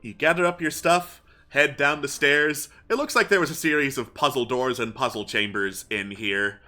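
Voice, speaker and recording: Male game master, forceful bright voice, narrating tabletop adventure over faint music. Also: mid-range voice, normal speed.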